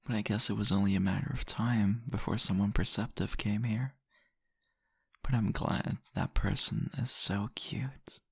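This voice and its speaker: Seductive vampire host male actor, ASMR roleplay. Gravelly, husky murmur, possessive and teasing, very dry close-up sound. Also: lowish voice, fairly even intonation, dry recording, full character voice. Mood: impressed, menacing. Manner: slow, teasing, murmuring, deliberate. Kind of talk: intimate ASMR roleplay addressed to the listener.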